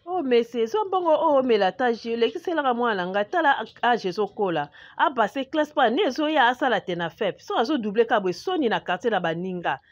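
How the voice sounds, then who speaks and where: A woman with a Congolese accent playing an indignant, scolding market-trader mother in an animated comedy. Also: a little high, brisk, hardly any pauses, dry recording, dull audio, close to an everyday voice.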